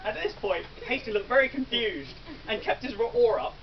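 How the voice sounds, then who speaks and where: A man in his thirties with an English accent, giving a best man's toast in a large wedding marquee, with dry jokes and pauses for laughs. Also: extremely high pitch. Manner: dry joking delivery with ums and pauses for laughs. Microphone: far camera, no mic. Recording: distant dull camera audio.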